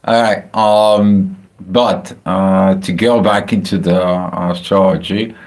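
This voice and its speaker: A man with a Bulgarian accent talking casually about astrology on a livestream, halting with many filler sounds and restarts. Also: low voice, fairly even intonation, darkish tone. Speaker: astrology channel host. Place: home, house in Spain.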